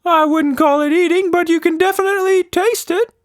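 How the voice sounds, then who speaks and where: A man's voice: extremely high pitch, steady tone, brisk, no echo, studio quality, pitched above the performer's own voice. A man voicing a zany, friendly, playful magical monster for a children's story. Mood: playful, eager.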